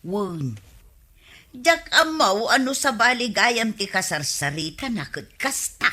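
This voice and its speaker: A gossipy Ilocano village woman in a radio comedy. Her bright voice is slow and pointed, with big swings in pitch as she remarks on others. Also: very animated, heavily acted. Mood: teasing, observant.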